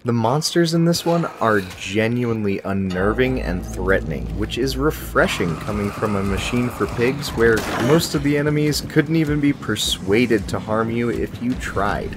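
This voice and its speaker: A man's voice: medium pitch, lively intonation, moderate pace, few pauses, noisy background. A man in his thirties giving a scripted video game review in a wry, casual, emphatic way. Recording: music behind the voice. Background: music.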